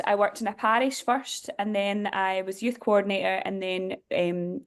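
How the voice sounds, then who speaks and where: Glasgow-accented woman with a smooth voice. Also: medium pitch. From Scotland. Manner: conversational, explanatory, with frequent fillers. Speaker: diocesan youth director. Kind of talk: workshop presentation.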